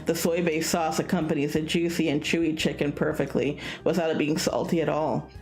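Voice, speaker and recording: A woman's voice: deep voice, brisk. A woman streaming a visual novel, reading the narration expressively and slipping into casual asides. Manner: animated, acts out dialogue. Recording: music behind the voice.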